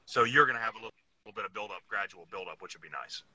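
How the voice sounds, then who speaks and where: A man's voice: fairly low pitch, expressive, racing, bright, forceful tone, husky, no echo, poor audio. An American man co-hosting a podcast, chatting casually in colloquial, folksy phrasing. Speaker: podcast co-host. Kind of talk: casual powerlifting chat.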